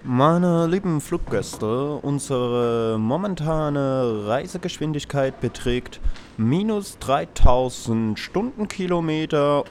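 A man playing an airline captain giving a deadpan, official cabin announcement in an absurdist radio play. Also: mid-range voice, a little hiss or hum.

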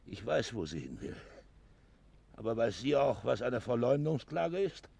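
An actor playing a loud, stern, threatening village man in a radio play, with a rough, gravelly voice. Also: mid-range voice, big pitch swings, a little slow, no echo, full character voice. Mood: angry, threatening. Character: blustering, threatening village man warning about gossip. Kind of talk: German radio play acting.

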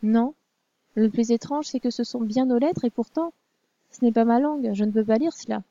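A woman's voice: on the high side, normal speed, dark tone, no echo, dull audio, natural voice. A woman voicing a young princess who speaks in a formal, proper way. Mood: earnest. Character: young princess, weary yet curious and proper.

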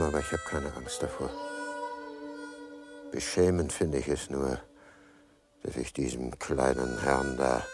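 An actor playing a menacing, contemptuous killer in a radio drama, with a sneering, mock-polite manner. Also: male voice, rumbling low. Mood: resigned, haughty. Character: menacing, contemptuous, cold-blooded male killer. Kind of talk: German radio drama monologue.

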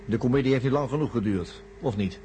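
A man's voice: medium pitch, fast, warm tone, close to an everyday voice. A man playing an insistent, confrontational psychiatrist in a science-fiction radio play, speaking formally and pointedly. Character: insistent male psychiatrist demanding the hidden truth. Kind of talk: radio drama acting. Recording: noisy old radio recording with hum.

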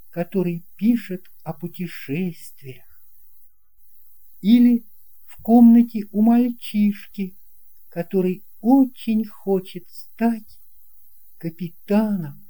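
A woman reading a children's story expressively, with deliberate phrasing and dramatic pauses. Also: medium pitch, dark tone. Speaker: audiobook reader.

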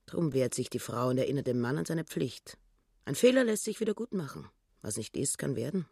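An actor playing a self-righteous, grasping wife in a radio play, talking in sententious platitudes. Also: male voice, mid-range voice, vocal fry, darkish tone, breathy edge, dry recording, clean studio sound. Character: self-righteous, grasping wife nagging about duty and money.

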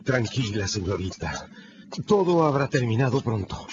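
An actor playing a courteous bank gentleman in a radio drama, speaking in a gentle, reassuring, formal way over music. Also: mid-range voice, sing-song, normal speed, noisy background, lightly acted. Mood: soothing. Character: courteous, soothing English bank gentleman escorting a young lady. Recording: dull radio recording under prominent music.

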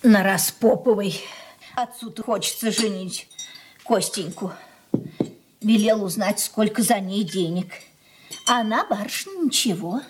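An actress in a Soviet radio drama playing a worried, soft-hearted mother of a merchant household, sighing and fretful. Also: medium pitch, lively intonation, a little slow, pauses often, a little hiss or hum, natural voice.

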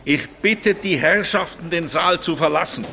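An actor playing a stern, officious German man in a radio play, speaking formally and commandingly in a gravelly voice. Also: fairly high pitch, normal speed, background noise, natural voice. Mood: stern, accusing.

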